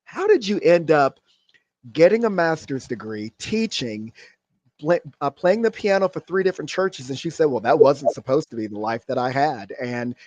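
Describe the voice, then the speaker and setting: A man from middle Georgia speaks, earnest and grateful. His voice sits a touch high. The sound is dry and a little muffled. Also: expressive, normal speed, darkish tone, dry recording. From middle Georgia, USA. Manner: earnest, thankful, speaks with some fillers and restarts. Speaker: guest. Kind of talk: conversational interview.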